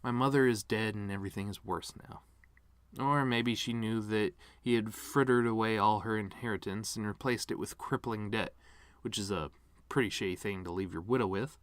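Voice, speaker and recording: A man's voice: on the low side, fairly even intonation, moderate pace, smooth, no echo, close to an everyday voice. A man performing a dramatic monologue as a bitter, regretful actor giving his mother's eulogy, with sardonic, rambling asides. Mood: bitter, musing.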